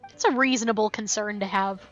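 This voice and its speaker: A woman's voice: mid-range voice, fast talker, a bit bright, highs cut off. A woman live-streaming a game in casual commentary, playfully and wryly talking back to the characters. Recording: faint game music behind.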